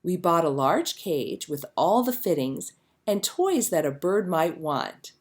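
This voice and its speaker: A woman reading a children's story aloud as a narrator, with expressive storytelling delivery. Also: on the low side, takes it slowly. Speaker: storybook reader.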